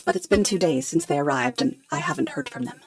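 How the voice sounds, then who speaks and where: Poised, lively-pitched woman professor. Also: faint music behind, heavily acted. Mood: concerned. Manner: measured, polite, explanatory. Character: poised, articulate female professor hiring a detective. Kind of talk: radio drama dialogue.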